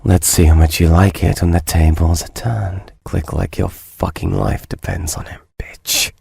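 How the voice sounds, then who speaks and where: A man's voice: low-pitched, steady tone, measured pace, gravelly, dark tone, breathy edge, no room sound, clean studio sound, heavily acted. An actor playing a bitter, tormented cartoon man in a horror game, with a theatrical, volatile, foul-mouthed delivery. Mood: menacing, bitter. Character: tormented cartoon man, bitter, taunting, vengeful, then desperate. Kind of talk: dramatic visual novel game voice acting.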